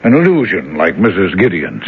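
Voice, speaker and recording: Doctor, skeptical, brisk, husky, old radio play. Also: male voice, sits low, wildly swooping pitch, exaggerated voice. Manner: brisk, dismissive. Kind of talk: 1970s radio drama acting. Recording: dull old radio broadcast.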